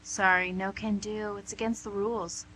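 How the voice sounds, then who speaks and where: A woman's voice: mid-range voice, level tone, normal speed, bright, forceful tone, lightly acted, rough recording. A woman playing a suave female devil boss in an amateur radio comedy, pedantic, condescending and mock-polite. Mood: teasing, sly. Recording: amateur radio recording with hum, noise.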